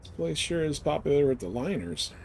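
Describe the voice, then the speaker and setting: A man's voice: fairly high pitch, very animated, a little fast, gravelly. Man casually streaming a game, faint noise. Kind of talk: casual live gameplay commentary.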